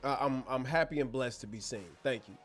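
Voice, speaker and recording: A man's voice: mid-range voice, fairly quick, faint music behind. Slangy, joking man hosting a livestream. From born in Germany, American. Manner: slangy, joking, addresses chat directly. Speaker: livestream host. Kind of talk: casual live Q&A chat. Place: bedroom studio at home. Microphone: wireless clip-on mic.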